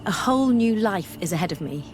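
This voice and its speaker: An actress playing an earnest, bookish young female scholar in a video game, speaking in a measured, articulate way. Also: mid-range voice, expressive, a little hiss or hum, natural voice. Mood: thoughtful, hopeful. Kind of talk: video game dialogue acting. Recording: music behind the voice.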